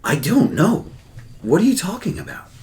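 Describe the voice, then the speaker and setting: A man's voice: high voice, huge pitch swings, moderate pace, darkish tone, pitched above the performer's own voice, heavily acted. A man playing a sullen, evasive teenage boy who talks back in a whiny, dismissive way. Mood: sullen, defensive.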